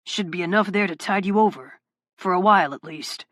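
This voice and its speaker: A woman voicing a gruff young man who is teasing yet warm, in a low, relaxed and quietly teasing manner, as an audiobook character voice. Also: a little fast, no room sound, studio quality, close to an everyday voice. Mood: wry.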